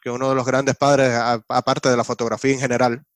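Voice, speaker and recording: A man with a Cuban Spanish accent speaks as a podcast guest. His voice is smooth and resonant, and it is recorded dry and close. He talks in a relaxed, explanatory way. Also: mid-range voice, quick pace, no echo. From Cuba. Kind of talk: casual interview chat.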